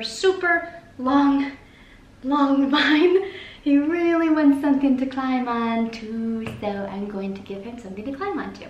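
A woman's voice: a little high, fairly bright tone, a little hiss or hum. Chatty young woman, echoey plant room. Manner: chatty, playful, self-mocking asides. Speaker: plant vlogger, host. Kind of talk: casual chat with how-to tips. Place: plant-filled living room, indoors. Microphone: camera at a distance, seated at a table. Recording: roomy echo.

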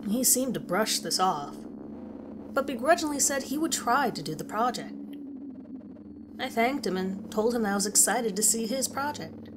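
A woman's voice: a little high, lively intonation, normal speed, natural voice. A woman narrating a scripted horror story as a concerned, unsettled teacher. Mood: uneasy, reflective. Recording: clearly audible background ambience noise.

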